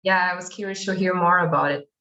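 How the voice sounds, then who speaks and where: A woman's voice: fairly low pitch, steady tone, fast, sharp tone, roomy sound, low-quality recording. A woman on an academic panel asking and debating with many fillers like "uh" and "like". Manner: searching, filler-heavy questions.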